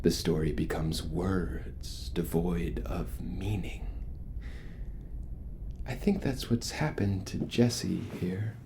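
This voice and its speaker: An actor playing a charismatic, ominous cult leader in a horror audio drama, preaching grandiosely with rhetorical pauses. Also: male voice, low-pitched, vocal fry, noisy background, heavily acted. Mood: mystical, commanding. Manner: theatrical, grandiose, pausing for rhetorical effect.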